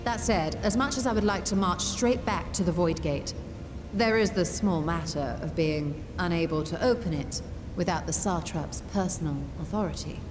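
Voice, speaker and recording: A woman's voice: on the low side, normal speed, hardly any pauses, music in the background, lightly acted. A woman playing an eager, scholarly young adventurer with formal, articulate phrasing in a video game cutscene. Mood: earnest, businesslike.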